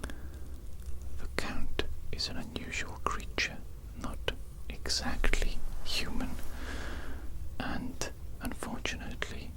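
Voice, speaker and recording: A woman playing a shady, evil mad doctor in a whispered ASMR roleplay, formal and deliberate, politely sinister and repeating phrases. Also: close to an everyday voice, rough recording. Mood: earnest, wistful.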